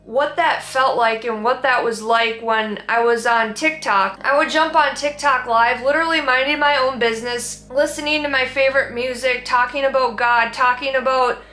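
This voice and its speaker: Minnesotan woman, bright, echoey room. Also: high voice, fairly even intonation, normal speed, few pauses, faint music behind. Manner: earnest, candid, reflective. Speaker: vlogger. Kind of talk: sit-down personal talk.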